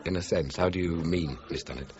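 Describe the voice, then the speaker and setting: An actor playing a courteous, methodical police chief inspector who questions politely and deliberately in a radio crime drama. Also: male voice, low-pitched, a little mellow, dull audio, natural voice. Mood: probing.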